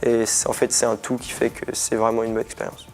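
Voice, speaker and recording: Young male student, flat intonation, candid informal testimonial, faint music underneath. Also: mid-range voice, brisk. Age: young adult. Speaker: student back from internship abroad.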